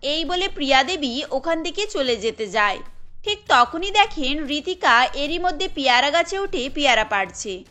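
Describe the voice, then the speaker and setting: A woman's voice: sits high, very animated, normal speed, bright, forceful tone. A woman narrating a scripted cartoon story as its storyteller. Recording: music bed under the voice.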